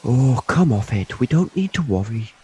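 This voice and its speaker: A man's voice: medium pitch, sing-song, normal speed, a little mellow, breathy edge. A man playing a sceptical, down-to-earth uncle, dismissive and teasing, in an audio drama.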